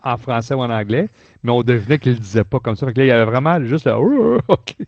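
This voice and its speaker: A man's voice: medium pitch, lively intonation, fast talker, darkish tone, dry recording. Quebec man, dull dry sound. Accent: Quebec French. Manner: informal, colloquial. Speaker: podcast host. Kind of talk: casual chat, book and audio drama review.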